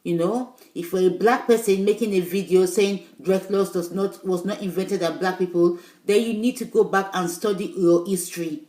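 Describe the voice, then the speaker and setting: A woman's voice: medium pitch, steady tone, moderate pace, few pauses, warm tone, reverberant. A woman vlogger, English not her first language, talking to her viewers casually with emphatic, insistent points and loose rambling asides. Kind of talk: casual video talk to viewers.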